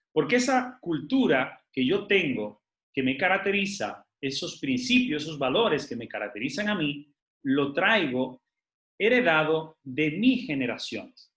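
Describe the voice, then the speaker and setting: A man from Spain, giving a lively motivational leadership talk to a group, asking rhetorical questions and using many fillers. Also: fairly high pitch. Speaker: network marketing team leader. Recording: echoey room.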